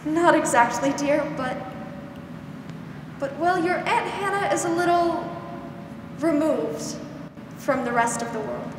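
Young woman playing high-voiced gentle older aunt. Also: slow pace, pauses often. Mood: hesitant, sorrowful. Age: young adult. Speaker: student actor. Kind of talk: 1940s radio drama acting. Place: school theatre stage. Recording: echoey hall, noisy background.

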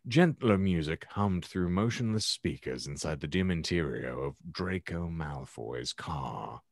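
A man reading fiction aloud in a theatrical, ornate storytelling style with dramatic pauses. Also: low-pitched, no room sound, clean studio sound. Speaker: podcast host reading aloud his own fan fiction. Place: indoor room at home, video call.

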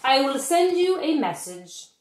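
A woman voicing a kindly but firm old grandmother witch in a picture-book read-aloud, affectionate and gently instructing. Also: high-pitched, very animated, a little slow, voice pushed up. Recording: roomy home webcam recording.

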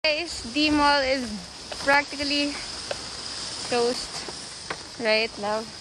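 A woman's voice: high-pitched, takes it slowly. A woman chats casually and exclaims as she vlogs, mixing English and Tagalog, on a phone outdoors. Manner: chatty, exclamatory, switches between English and Tagalog. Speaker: vlogger and mother. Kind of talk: casual vlog chat to camera and family. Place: outdoor beach. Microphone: handheld phone at arm's length. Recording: noisy outdoor phone recording.

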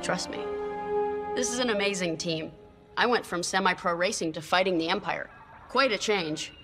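A woman's voice: lowish voice, normal speed, lightly acted. A woman playing an earnest, upbeat young fighter pilot in a video game, friendly and encouraging, in a reverberant space. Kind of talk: video game character dialogue. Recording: long reverb, faint music.

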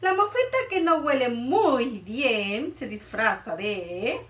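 A woman in her thirties reading a picture book aloud to children in a playful, expressive way, in a small room. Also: fairly high pitch, takes it slowly, reverberant, distant mic. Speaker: children's librarian. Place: small room indoors, before a board.

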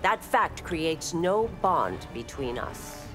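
A woman's voice: deep voice, very animated, slow, over music, full character voice. An actress playing a stern, dutiful woman constable and warrior in a video game, cold and firm. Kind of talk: dramatic video game dialogue.

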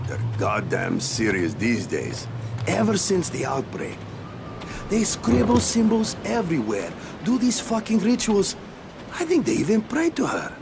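An actor playing a shady middle-aged hustler in a video game, brash and cynical, with a foul-mouthed dealmaker's patter. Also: male voice, mid-range voice, vocal fry. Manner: foul-mouthed, wheeling-and-dealing talk. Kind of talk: video game cutscene acting.

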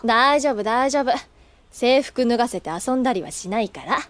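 Anime drama role: playful, confident older schoolgirl, highish voice with very animated pitch. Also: normal speed. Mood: playful teasing. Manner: playful, casual. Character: teasing, confident, easygoing senior schoolgirl. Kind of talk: anime audio drama acting.

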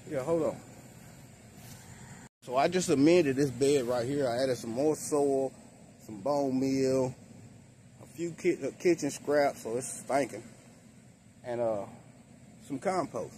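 Man, middle-aged, medium pitch, casual home gardener demonstration, unhurried, outdoor recording, clear background noise. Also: warm tone. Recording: noisy outdoor ambience, traffic-like rumble.

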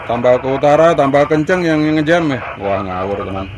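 A man's voice: medium pitch, lively intonation, fairly quick, a crowd in the background. A middle-aged man with an Indonesian accent working as an amateur radio operator, giving clipped phonetic calls and casual commentary. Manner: repeats call signs in clipped phonetic spelling. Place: open rooftop outdoors. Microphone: camera a short distance away. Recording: noisy outdoor recording with radio noise.

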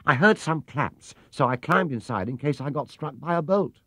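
A man's voice: fairly high pitch, animated, moderate pace, fairly bright tone, no room sound, pitched above the performer's own voice. A man voicing a polite, earnest, sheepish young bear in a storybook.

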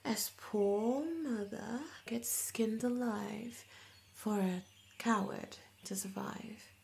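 A woman's voice: mid-range voice, steady tone, gravelly, a little hiss or hum. A woman playing a cruel, sneering bully in an audio roleplay, mocking and drawing out taunts. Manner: mocking, drawn-out, sometimes hushed.

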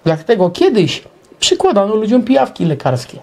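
A middle-aged man co-hosting a talk show, explaining his opinions in a halting monologue. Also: high-pitched, wildly swooping pitch, vocal fry, a little mellow.

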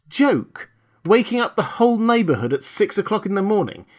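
Man acting an indignant, high-strung husband. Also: sing-song, moderate pace. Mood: amazed, indignant. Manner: exclaiming in disbelief. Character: startled, indignant husband, baffled and bitter. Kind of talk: dramatised audiobook.

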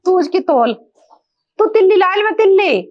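A cranky, insistent old mother-in-law with a thick childlike lisp, as a woman acts her out. Her voice is shrill and very high. The sound is dull and muffled. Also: sing-song, moderate pace. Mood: nagging. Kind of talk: comic skit acting.

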